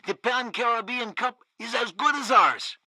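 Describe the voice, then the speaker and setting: An actor playing a bumbling, pompous government propagandist who co-hosts a comic radio news show, excitable and theatrical. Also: male voice, extremely high pitch, normal speed, no echo, full character voice. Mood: salesy, upbeat. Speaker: radio co-host and announcer.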